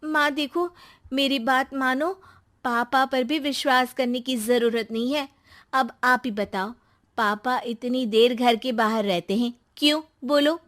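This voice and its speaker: Woman voicing a high-pitched, scheming young daughter. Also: unhurried, a bit bright. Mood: insinuating. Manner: insistent, insinuating. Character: scheming, greedy young daughter poisoning her family. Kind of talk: dramatized moral story.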